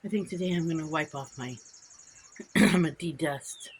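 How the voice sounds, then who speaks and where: A woman's voice: low voice, normal speed, a little hiss or hum. A woman hosting a vlog in casual, rambling talk, stuffed up from allergies, outdoors with birds and insects around. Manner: chatty, rambling and repetitive. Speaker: vlog host. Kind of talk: casual chat to camera.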